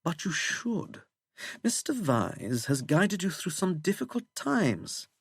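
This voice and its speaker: A man's voice: high voice, very animated, takes it slowly, vocal fry, husky, dry recording, clean studio sound, voice pushed up, heavily acted. A man voicing a suave, menacing English wizard in an audiobook, with a plummy accent and a languid, mocking delivery. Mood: quietly threatening. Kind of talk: audiobook character acting.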